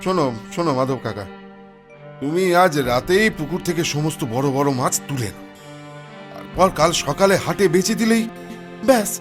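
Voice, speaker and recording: A man's voice: on the high side, lively intonation, moderate pace, long pauses, over music, natural voice. A man voicing a hearty, helpful village farmer in a cartoon, exclamatory and welcoming in manner. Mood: concerned. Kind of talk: Bengali cartoon dubbing.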